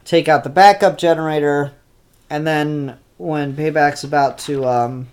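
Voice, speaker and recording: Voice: mid-range voice, darkish tone. A comic book reviewer with a stuffed-up, congested voice, talking through new issues in an offhand way with lots of ums and restarts. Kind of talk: casual spoken comic review.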